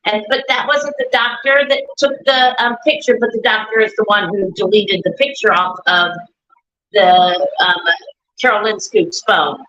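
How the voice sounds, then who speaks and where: A woman speaking loudly and at length on a live stream from an echoey room, repeating her points as she talks. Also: mid-range voice, steady tone, normal speed, dull audio. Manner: loud, talkative, repeats herself. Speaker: guest, bereaved mother.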